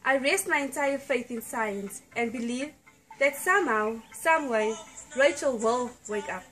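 A woman playing a grave puppet doctor with a measured, solemn manner in an amateur puppet show. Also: fairly high pitch, fairly bright tone, poor audio. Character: puppet doctor, grave, gently breaking bad news.

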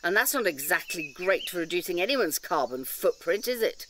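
A woman's voice: lowish voice, sing-song, moderate pace, a bit bright, slight background noise. A woman playing an indignant nature-reserve campaigner in an audio drama, lecturing emphatically with pedantic detail and rhetorical questions. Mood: wound up.

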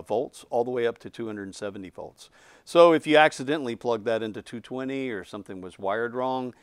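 A man's voice: lowish voice, clean tone, no room sound. A man in his fifties giving an unscripted technical explanation of a product as its designer. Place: indoor studio set, seated.

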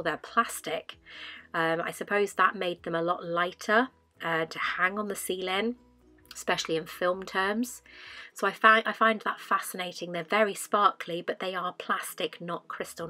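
A woman's voice: fairly low pitch, pushed and bright, dry recording. A woman in her thirties giving a casual, rambling voice-over, often repeating herself for emphasis. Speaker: vlogger narrating a collection clean-up. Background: music.

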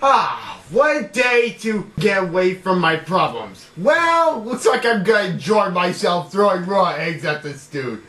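A man acting an excitable, mischievous hero in an amateur comedy short, exclaiming theatrically over noisy sound. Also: sits high, measured pace, hardly any pauses.

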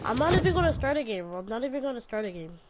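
A woman's voice: a little high, big pitch swings, racing. A woman chatting casually while playing a shooter game with friends, playful and teasing, bossing her teammates around. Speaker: gamer streaming with friends. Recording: dull lo-fi sound, loud game noise behind. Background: loud gunfire and game sounds.

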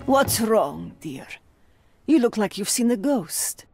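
Lively, sharp-tongued old landlady. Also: mid-range voice, slow pace, husky, faint music behind, exaggerated voice. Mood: worried. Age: older. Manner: sarcastic, scolding, endearments. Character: sharp-tongued, nosy old female landlady with soft spot. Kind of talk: dramatic video game cutscene acting.

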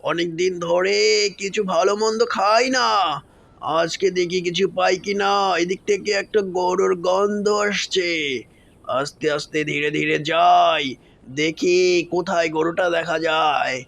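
A man voicing a hungry, boastful monster villain in a children's cartoon, with a slow, gloating delivery. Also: sits high, fairly even intonation, gravelly, fairly bright tone, heavily acted. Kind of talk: children's superhero cartoon voice acting.

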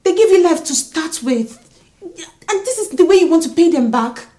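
A woman plays a young wife who stands up to her husband over how he treats his mother. Her voice sits very high. She starts in hushed, breathy whispers and rises to shrill scolding. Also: expressive, moderate pace. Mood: reproachful. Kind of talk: melodrama acting.

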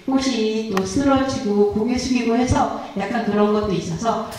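A woman's voice: on the high side, normal speed, background noise. A middle-aged woman explaining her flower arrangement at a church seminar in a chatty, self-deprecating way, speaking into a handheld mic. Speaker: flower arranging instructor presenting her work. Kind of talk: casual seminar talk. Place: large church hall, indoors. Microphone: handheld mic, heard through hall speakers. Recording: echoey hall, voice from far off.

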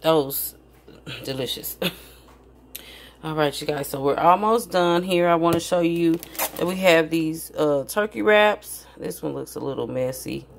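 Woman vlogger, low voice, slow casual chat, folksy and enthusiastic, home kitchen, noisy recording with crinkling. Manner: folksy, enthusiastic about food. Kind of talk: casual grocery haul chat to viewers. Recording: clear background noise, crinkling packaging.

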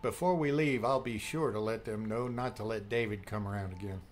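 A man's voice: on the low side, a little fast, slight background noise, close to an everyday voice. Man acting protective, wryly teasing father. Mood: relieved, steady. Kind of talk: audiobook drama acting.

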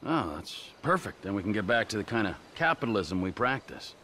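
An actor playing a volatile, sarcastic middle-aged criminal with a gravelly voice and a mocking drawl in a video game. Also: male voice, on the low side, sing-song, a little fast, bright, forceful tone, a little hiss or hum. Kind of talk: video game cutscene acting.

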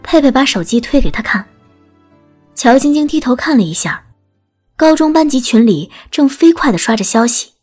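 A woman's voice: sits high, lively intonation, normal speed, slightly rough, soft background music. A woman reading scripted story narration as an audiobook narrator.

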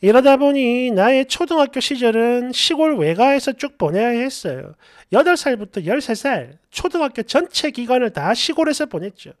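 A man's voice: extremely high pitch, lively intonation, moderate pace, no room sound, clean studio sound. A middle-aged man hosting a story channel, reading a first-person story aloud in a colloquial, exasperated, dramatized way with asides.

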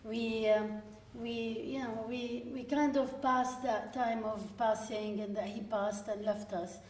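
A woman from Lebanon in her forties speaks at a panel event, her voice sitting a touch high. She tells stories in a lively way, acting out quoted lines. The sound comes from a stand mic in an echoey gallery room. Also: a little slow. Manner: lively storytelling with frequent laughs and quoted asides. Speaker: panel guest, filmmaker. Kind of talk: live panel conversation. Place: gallery room with seated audience. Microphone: stand mic at a distance.

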